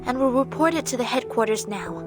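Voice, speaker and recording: A woman's voice: on the high side, expressive, fairly quick, close to an everyday voice. A woman voicing a composed, dutiful female agent in a video game, businesslike in manner. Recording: loud background music.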